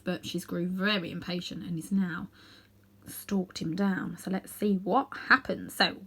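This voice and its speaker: A woman narrating a children's storybook in an expressive reading style. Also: medium pitch. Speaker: storybook reader.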